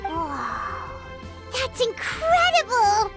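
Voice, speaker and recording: A little floating fairy sidekick from a video game, extremely high and squeaky. The childlike, excitable delivery bounces widely in pitch and takes its time. It is full of exclamation. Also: female voice, drawn-out words, creaky, full character voice. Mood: awed, excited. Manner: refers to self in third person, exclamatory. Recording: music behind the voice.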